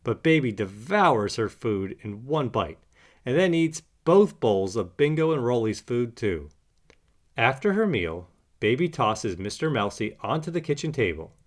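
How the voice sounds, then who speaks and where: Animated man reading a children's storybook. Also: mid-range voice, unhurried. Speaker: storybook narrator. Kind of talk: animated children's read-aloud narration.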